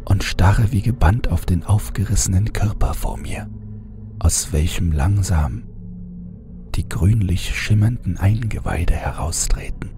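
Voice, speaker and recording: Very deep, slow male horror narrator. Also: dark tone. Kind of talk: scripted audiobook narration of a horror story.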